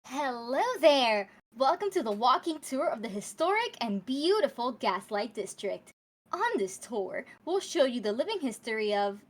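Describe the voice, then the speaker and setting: A woman's voice: on the high side, big pitch swings, moderate pace. A woman playing a chirpy but testy, stubborn tour guide with dismissive presenter patter, in a comedy table read. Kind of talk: live table read of a comedy radio show.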